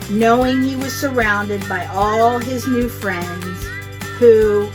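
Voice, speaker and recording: A woman hosting a children's storytime, reading aloud in an expressive, deliberate storytelling way, with music behind her. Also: a little high, rough recording. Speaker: host and story reader. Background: background music.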